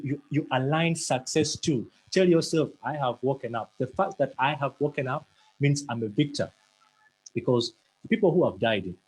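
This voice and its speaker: A man's voice: on the high side, a little mellow, slightly rough, rough recording. A man giving a motivational talk, earnest and emphatic, who stammers and repeats words now and then. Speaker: motivational speaker.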